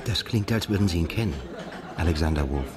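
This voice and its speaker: An actor playing a brooding, haunted émigré narrator in a literary radio drama, speaking in a halting, self-correcting way. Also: male voice, low-pitched, expressive, crowd noise. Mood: curious. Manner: halting, self-correcting while translating aloud from English. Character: brooding Russian émigré man, narrator haunted by a past shooting.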